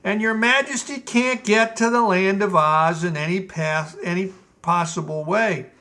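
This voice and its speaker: Man voicing a drowsy gnome steward, calm lecturing tone, high bright voice. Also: measured pace, full character voice. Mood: placid. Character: sleepy, patient male gnome steward.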